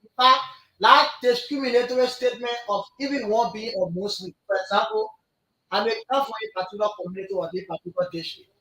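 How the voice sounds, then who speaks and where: A man's voice: extremely high pitch, big pitch swings, quick pace, pushed and bright, roomy sound, poor audio. A man from Liberia, a guest who argues politics insistently on a radio talk show and repeats himself to keep the floor. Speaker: studio guest, party executive. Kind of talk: heated political debate.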